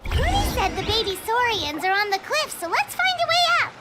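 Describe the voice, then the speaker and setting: A woman voicing a small, childlike fairy companion in a video game, squeaky, earnest, chatty and outspoken. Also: very animated, fairly quick. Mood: eager. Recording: game audio with loud music.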